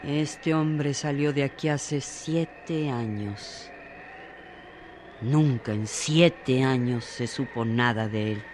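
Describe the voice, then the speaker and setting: Radio drama narrator: a man playing a grave, unhurried storyteller, clear noise behind. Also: mid-range voice, close to an everyday voice. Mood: measured.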